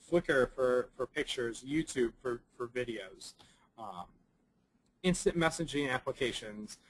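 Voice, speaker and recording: A young man with a slightly raspy voice from a cold gives a conference presentation, halting often with ums and restarts. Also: medium pitch, a bit bright, rough recording.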